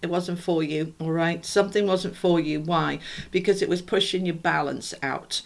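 A woman's voice: lowish voice, fairly even intonation, normal speed, creaky. A middle-aged woman with a British accent talks through a tarot spread in a loose, chatty way, speaking straight to her viewers. Her voice sounds a little throaty and congested. She is seated indoors at home. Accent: British English. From United Kingdom. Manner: chatty, rambling, addresses viewers warmly as a group. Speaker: tarot reader. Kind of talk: casual spoken tarot reading.

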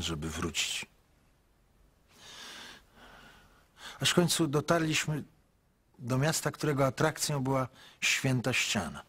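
A male actor playing a grown son in a radio drama, speaking in a measured, heavy, quietly pleading way. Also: medium pitch. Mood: reflective. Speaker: son narrating his story.

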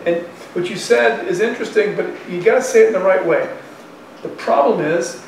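A man in his sixties, a professor giving an informal lecture in a colloquial, conversational way, thinking aloud. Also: high voice. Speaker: university economics professor. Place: university classroom in front of chalkboard. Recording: echoey classroom, distant mic, faint noise.

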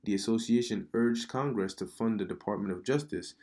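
A man giving casual religious commentary over news articles he reads aloud, halting and repeating words, often calling the listener "man.". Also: on the low side, mellow. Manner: preachy, addresses viewer as man. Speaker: online commentator. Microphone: computer mic during screen recording.

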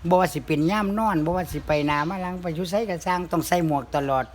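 A man's voice: sits high, fairly even intonation, fast. A man with a northeastern Thai accent, chatting and telling humorous folk stories in a casual, rambling way. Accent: northeastern Thai (Isan). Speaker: comic storyteller. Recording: clear background noise.

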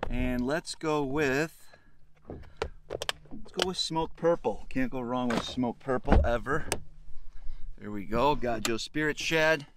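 A man in his forties chatting casually to camera as a fishing vlogger, laid-back and halting as he thinks aloud, recorded outdoors on a boat. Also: mid-range voice. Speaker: fishing vlog host. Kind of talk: casual chat, explaining to camera. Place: open boat deck on a lake, outdoors. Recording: outdoor camera audio with clear boat noise.

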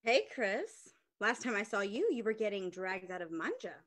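A woman's voice: mid-range voice, sing-song, normal speed, a bit bright. A woman in her thirties playing a no-nonsense, sassy young expectant mother in a conversational table read, frank and slangy. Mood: teasing.

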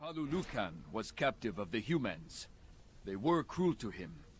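A man's voice: on the low side, very animated, normal speed, noisy background. A man playing an earnest, compassionate alien healer in a video game, reassuring and formal in his phrasing.

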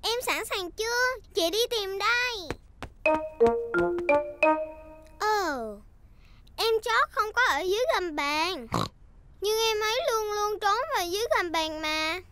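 A performer voicing a bossy, excitable little girl pig with a childlike manner in a dubbed preschool cartoon. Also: extremely high pitch, over music. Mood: eager. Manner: childlike, deliberate, instructing.

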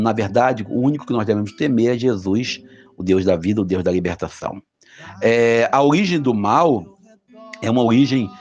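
A man's voice: medium pitch, a little mellow. Brazilian man giving a casual gospel reflection. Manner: conversational, many hesitations and restarts. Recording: slightly dull lo-fi audio with faint music.

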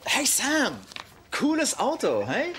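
An actor playing a cocky young man who teases in slow clear sitcom German. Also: very high voice, wildly swooping pitch, noisy background, full character voice. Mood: smug. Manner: swaggering, teasing.

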